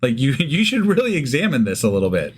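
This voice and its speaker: A man's voice: mid-range voice, huge pitch swings, quick pace, breathy edge. A man co-hosting a podcast in casual, irreverent chat, swearing heavily and arguing his points. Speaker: podcast co-host. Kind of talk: casual chat, reactive commentary.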